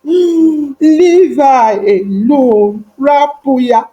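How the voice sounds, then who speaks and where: Lady doing a distraught little boy in a folktale, high voice, tearful, begging super slow. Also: dark tone, dull audio. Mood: tearful, pleading. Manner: pleading, drawn-out repetition. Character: distraught, tearful seven-year-old boy, muttering obsessively.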